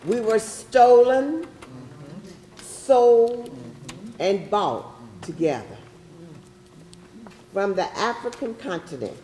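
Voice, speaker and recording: A woman performing a solemn, deliberate dramatic recitation in readers theatre. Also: on the high side, lively intonation, dark tone. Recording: roomy, echoing stage recording.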